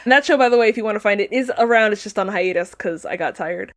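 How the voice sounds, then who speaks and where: A woman's voice: medium pitch, fast. A talkative woman chatting casually as a podcast guest, with a playful, self-deprecating way of talking. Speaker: podcast guest, pop culture commentator. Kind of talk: casual chat.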